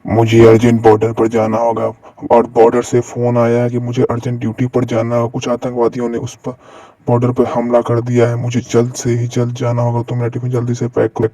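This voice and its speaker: A man's voice: mid-range voice, completely flat delivery, brisk, dark tone, no echo, voice pushed down, close to an everyday voice. A man voicing a brave, dutiful army soldier in a cartoon story, speaking in a stern, urgent manner. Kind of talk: amateur Hindi cartoon story dialogue.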